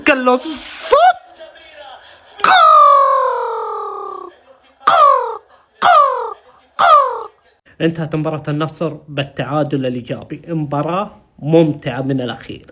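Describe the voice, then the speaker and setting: A man reacts to football at a strained, high pitch. His voice is bright and it leaps all over the place. He shrieks, chants and laughs between his outbursts. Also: wildly swooping pitch, a little slow, pauses often. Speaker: football fan reacting to a match. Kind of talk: live match reaction.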